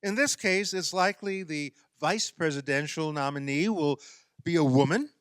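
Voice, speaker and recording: A man delivering a sermon as a minister, in measured, emphatic oratory with wry, dry humour. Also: a little high, fairly bright tone, clean tone, no room sound.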